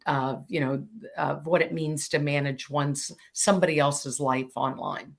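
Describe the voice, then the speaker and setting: Low, slightly raspy woman in her fifties. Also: fairly even intonation, measured pace. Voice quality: a bit raspy. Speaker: panelist, chief executive. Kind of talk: conference panel discussion.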